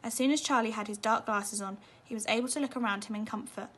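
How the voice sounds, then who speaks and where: A woman's voice: a little high, fast, fairly bright tone, slightly rough. A woman narrating a children's storybook expressively, as a teacher reading at bedtime.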